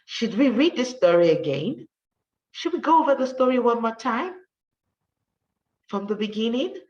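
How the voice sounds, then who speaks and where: A woman teacher reading a rhyming story for children, with an encouraging manner and deliberate, emphatic delivery. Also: fairly high pitch, very animated, a little mellow, recorded from a distance, highs cut off. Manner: encouraging, praising, addressing children directly.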